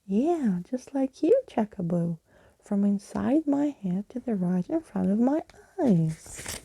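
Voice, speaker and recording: A woman's voice: mid-range voice, big pitch swings, slow, vocal fry, mellow, breathy edge, no room sound, full character voice, rough recording. A woman voicing a plucky, eager young girl in a storybook, wide-eyed and full of playful slang. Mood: amazed.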